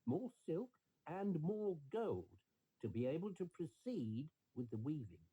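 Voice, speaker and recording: A man's voice: medium pitch, mellow, husky, poor audio. A man narrating a scripted bedtime story for children, as a storyteller.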